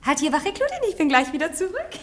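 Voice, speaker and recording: High-voiced, coquettish young wife. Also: female voice, very animated, fast, noisy background. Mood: delighted. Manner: coquettish, airy, self-assured. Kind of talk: theatrical radio play acting.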